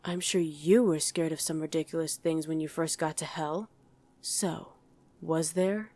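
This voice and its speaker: A woman's voice: sits low, steady tone, a little slow, dark tone. A woman playing a wry, easily irritated demon in a conversational ASMR roleplay, chatting and bickering with a partner. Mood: wry, defensive. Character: female demon on mortal-hunting duty, wry, easily irritated. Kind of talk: ASMR roleplay acting to an unheard listener.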